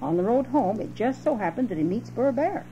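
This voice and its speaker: A woman's voice: fairly high pitch, lively intonation, brisk, mellow. An older woman telling a children's story in folksy dialect, recorded at home on cassette. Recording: dull, noisy home cassette with hum.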